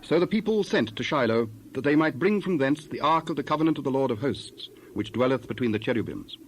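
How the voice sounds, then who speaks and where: A man narrating scripture from a script in a measured, formal way. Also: medium pitch, expressive, slight background noise.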